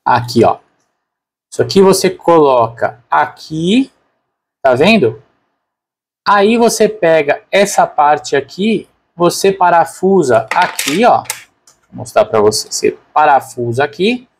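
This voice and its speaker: A man's voice: fairly high pitch, slightly rough. A man with a Brazilian Portuguese accent narrating a product unboxing casually and unscripted, informally thinking aloud as a reviewer.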